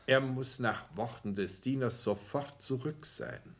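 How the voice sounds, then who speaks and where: A man's voice: medium pitch, a little slow, natural voice. An actor playing a genteel, proud count and father in a 1960s German radio drama, speaking in a formal, polished way. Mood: earnest, fatherly.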